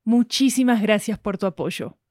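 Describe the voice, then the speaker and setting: A woman's voice: mid-range voice, moderate pace, darkish tone, dry recording, studio quality. A woman with an Argentine accent reading scripted promotional narration as a podcast announcer.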